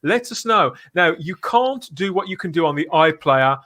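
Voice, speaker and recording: A man's voice: a little high, animated, normal speed, sharp tone. A man hosting a live podcast in a casual, chatty way. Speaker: podcast host.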